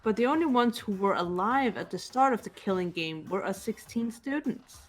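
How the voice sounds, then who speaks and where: A woman voicing a composed, guarded teenage girl detective in an amateur game dub, measured and restrained. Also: medium pitch, expressive, soft background music, natural voice. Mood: calm, analytical. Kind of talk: amateur voice acting in a visual novel playthrough.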